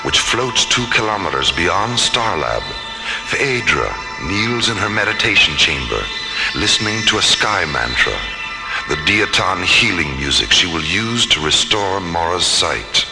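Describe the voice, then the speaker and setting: Very deep, gravelly male narrator. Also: big pitch swings, rough recording. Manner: measured, portentous. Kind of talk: dramatic scripted radio drama narration. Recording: narration over prominent music.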